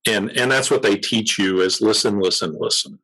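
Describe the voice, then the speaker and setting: Man, low voice, interview guest, conversational expert explanation, measured, repeats for emphasis. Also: bright, forceful tone, rough recording.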